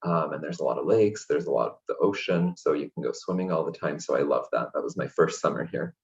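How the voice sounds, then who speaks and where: A man from Hungary, a guest in an interview, speaking in a relaxed, chatty way with many fillers over a video call. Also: a bass voice, fast, vocal fry, muffled recording. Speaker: interview guest, language access programs director. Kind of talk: casual interview conversation.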